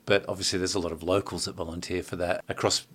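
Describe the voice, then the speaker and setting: A man with a very low voice hosts an interview. He is upbeat and leads his guest with prompting questions like a seasoned interviewer. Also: flat delivery, fast talker, a bit bright.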